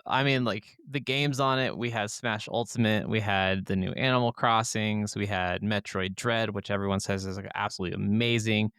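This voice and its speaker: Low, clear-voiced man chatting casually. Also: fairly even intonation, moderate pace, few pauses, fairly bright tone, no echo, studio quality. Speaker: podcast host. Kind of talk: casual chat about video games.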